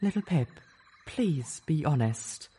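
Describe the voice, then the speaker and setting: A man voicing a gentle young female unicorn who speaks softly and pleadingly. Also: on the high side, very animated, husky, voice pushed up, heavily acted. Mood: fragile. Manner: soft, pleading, halting.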